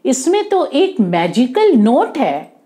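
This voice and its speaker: Gentle, unhurried father, voiced by a woman. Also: sits high, sing-song, exaggerated voice. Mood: puzzled, musing. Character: caring father of a young boy, gentle.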